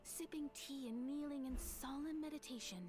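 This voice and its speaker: A woman acting an earnest scribe from a fantasy game, high-voiced, formal and eager to please. She is easily flustered, with music clearly behind her. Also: steady tone, moderate pace, natural voice. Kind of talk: game character trailer acting.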